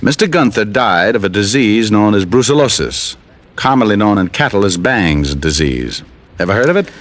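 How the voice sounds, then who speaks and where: A man's voice: on the low side, expressive, normal speed, close to an everyday voice. An actor playing a dry, wisecracking male private detective who narrates a 1950s radio drama. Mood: wry. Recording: old radio broadcast with faint music.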